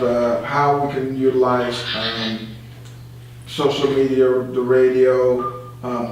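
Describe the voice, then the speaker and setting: A man recorded in a heavily reverberant room with faint noise behind him. He talks in an earnest radio discussion, choosing his words slowly and with pauses. He sounds like a community guest explaining his views. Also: mid-range voice. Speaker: community board member and guest. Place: echoey indoor room. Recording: very echoey room, distant mic, faint hum.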